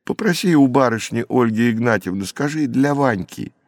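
A man's voice: medium pitch, expressive, normal speed, no room sound, clean studio sound, natural voice. A man voicing a naive orphaned peasant boy in an audiobook, pleading in plaintive, rustic folk speech. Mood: earnest, naive.

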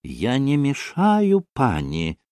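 A man voicing a haughty, self-important gentleman in a storybook, speaking pompously and deliberately. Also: a little high, very animated, no room sound, studio quality, voice pushed up. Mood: haughty, measured.

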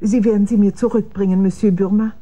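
An actress playing a fearful, respectable old lady with a prim manner and a husky voice in a German radio drama. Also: mid-range voice, steady tone, fast talker, loud music behind the voice, lightly acted. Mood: distressed, prim. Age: older.

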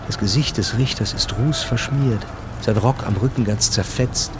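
A man's voice: on the low side, normal speed, noisy background, natural voice. A man reading scripted narration for a radio drama. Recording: narration mixed with loud music and effects.

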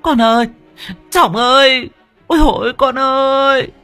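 A man voicing a grieving village mother who wails and sobs in a shrill voice. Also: lively intonation, normal speed, pushed and bright, faint music behind, exaggerated voice. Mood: wailing. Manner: shrill, sobbing, pleading. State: crying.

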